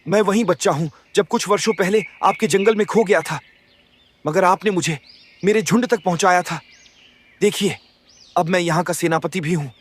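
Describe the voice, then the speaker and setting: A man voicing a loyal young wolf commander in an animated fable, respectful and eager in manner. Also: high-pitched, normal speed. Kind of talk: Hindi animated moral story dialogue.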